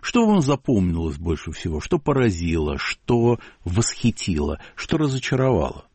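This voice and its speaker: Male interviewer, very low voice with wide pitch movement, asking short questions. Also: sing-song, moderate pace, darkish tone, breathy edge.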